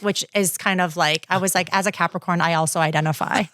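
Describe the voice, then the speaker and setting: A woman's voice: on the low side, fairly even intonation, fast, fairly bright tone, no echo, clean studio sound. A woman in her thirties, born in China and raised in Minnesota, telling stories as a podcast guest with a wry, playful manner. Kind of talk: casual interview chat.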